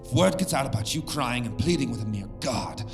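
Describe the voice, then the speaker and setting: A man's voice: mid-range voice, expressive, brisk, over music, close to an everyday voice. An actor playing a harsh, domineering royal father in an audio drama, stern, scolding and full of imperious contempt. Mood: angry, scornful.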